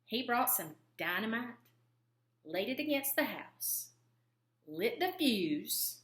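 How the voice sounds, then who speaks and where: Female storybook reader: bright, very animated, dramatic pauses for young listeners, roomy echoey recording. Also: on the high side. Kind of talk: expressive children's storybook narration.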